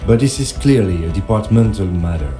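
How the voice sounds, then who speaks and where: A low, composed male lieutenant played by an actor in a video game. His delivery is courteous, formal and precise, with clear music in the background. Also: lively intonation, a little fast, natural voice. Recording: music behind the voice.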